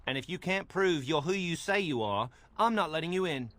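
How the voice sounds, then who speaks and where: A man's voice: on the high side, lively intonation, a little fast, a bit bright, no room sound. A man playing an officious, smug young templar guard in a fantasy game, blustering and sarcastic. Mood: officious, mocking.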